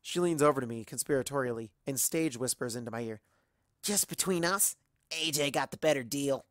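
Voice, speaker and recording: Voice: medium pitch, fairly quick, no room sound, clean studio sound, natural voice. A performer voicing a brash, playful young female pony in storybook narration, with a swaggering, teasing manner. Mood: playful boasting.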